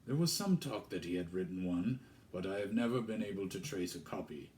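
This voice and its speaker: A man voicing a deep, mournful vicar. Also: animated, a little mellow, a little hiss or hum. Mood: wary. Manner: measured, formal, guarded. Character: tall forbidding male vicar, deep mournful voice.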